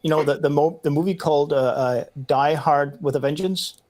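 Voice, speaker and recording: A man's voice: fairly high pitch, normal speed, darkish tone. Man, rambling casual chat. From Denmark. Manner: rambling, looks things up aloud, swears casually. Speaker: podcast panelist.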